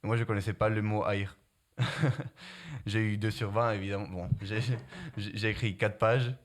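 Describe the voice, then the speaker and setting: A young man from Guatemala speaks with a slight Spanish accent. His voice sits a bit low, and he answers casually in halting phrases, restarting and hunting for the right word. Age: young adult. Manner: halting, many restarts, searches for words. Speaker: prep-school student.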